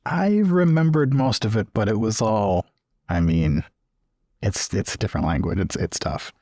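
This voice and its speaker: Deep, husky man playing a tabletop game. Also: normal speed, dark tone, no echo, studio quality. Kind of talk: casual improvised in-character dialogue.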